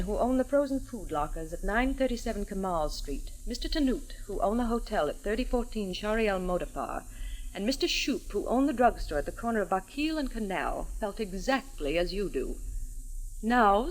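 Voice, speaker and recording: Woman as prim insurance saleswoman, medium pitch, formal, precise, pushy, rehearsed patter, vintage radio drama. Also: natural voice, low-quality recording. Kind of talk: 1940s radio acting.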